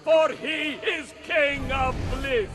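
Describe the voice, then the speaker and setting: Game role: melodramatic male stage player, very high voice, slow grand declamation. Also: very noisy. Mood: anguished declaiming. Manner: declamatory, archaic passion-play delivery. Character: theatrical male stage actor playing Christ, frightened and dying. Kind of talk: dramatic game acting.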